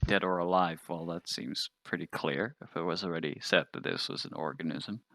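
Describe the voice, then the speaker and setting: A man's voice: low-pitched, moderate pace, creaky, a bit bright, dry recording, studio quality. A man taking part in a tabletop game as a player, chatting casually. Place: close, dry mic in an online game session.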